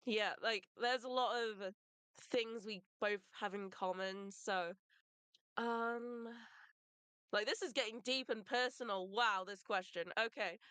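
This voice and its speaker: A woman voice actor answering hesitantly, halting with many fillers. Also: mid-range voice, fairly bright tone, clean tone, no room sound. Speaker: voice actor on a panel.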